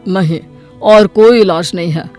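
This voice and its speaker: A man's voice: high voice, a little slow, mellow, faint music behind. A man voicing a matter-of-fact hospital doctor in a cartoon moral story, firm and blunt.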